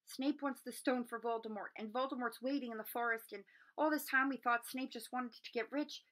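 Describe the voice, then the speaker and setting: A woman's voice: a little high, steady tone, fairly quick, a bit bright, voice pushed up, lightly acted. A woman voicing an earnest, anxious young wizard boy in a children's storybook, urgent and questioning. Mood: agitated, feverish. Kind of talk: children's storybook read-aloud.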